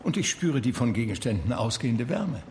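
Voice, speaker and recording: An actor playing a blind man from a cultured New York family, reminiscing with measured, articulate, gently wry delivery in a German radio drama. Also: medium pitch, big pitch swings, loud music behind the voice, natural voice. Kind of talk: German radio drama first-person narration.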